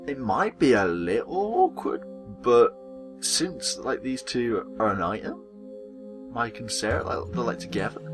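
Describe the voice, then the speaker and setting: A man hosting a gaming video, reading visual novel dialogue aloud dramatically and acting out the characters, with casual commentary. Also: mid-range voice.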